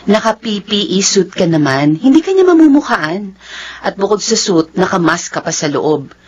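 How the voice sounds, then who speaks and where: A woman's voice: mid-range voice, sing-song, normal speed, breathy edge, highs cut off. A woman acting a supportive, no-nonsense friend in a radio drama, coaxing and reassuring. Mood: coaxing, insistent.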